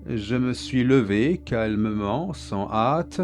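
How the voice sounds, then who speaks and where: A man narrating a dark first-person story in a flat, measured, placid way. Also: medium pitch, faint music behind.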